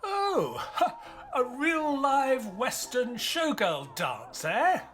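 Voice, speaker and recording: An actor playing a good-natured, bumbling doctor sidekick who talks in a hesitant, stammering, jolly way. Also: male voice, extremely high pitch, wildly swooping pitch, extremely slow, a bit bright, full character voice. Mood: jovial. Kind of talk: audio drama acting. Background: faint piano music.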